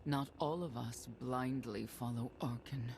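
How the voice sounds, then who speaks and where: An actress playing a hardened, no-nonsense knight in a sci-fi video game, blunt and stern, with a gravelly voice. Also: female voice, extremely low pitch, measured pace, full character voice. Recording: very noisy battle-filled game audio.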